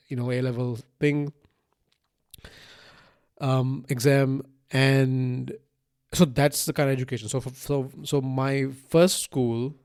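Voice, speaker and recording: A man's voice: mid-range voice, darkish tone. A man in his thirties from Sri Lanka, a native Sinhalese speaker, hosting a solo podcast in a casual, rambling way, circling back over phrases and checking in with "right?". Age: 30s. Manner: rambling, repeats phrases and restarts, often says "like" and "right?". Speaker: podcast host. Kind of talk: casual unscripted solo monologue.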